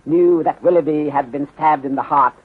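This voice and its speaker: A man's voice: on the high side, moderate pace, slightly rough. A man playing a courteous, shrewd Chinese detective inspector in a 1930s radio mystery, speaking formally and measuredly in clipped phrases without articles. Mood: calm, methodical. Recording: muffled, band-limited 1930s radio recording.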